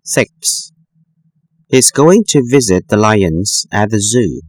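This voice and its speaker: A man reads numbered sentences for a school listening test, pausing and pronouncing each word with care. His pitch is mid-range but swings widely. It is recorded close and dry, with no room sound. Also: lively intonation, a little mellow, dry recording. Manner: slow, careful, deliberate enunciation. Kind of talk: scripted textbook listening exercise.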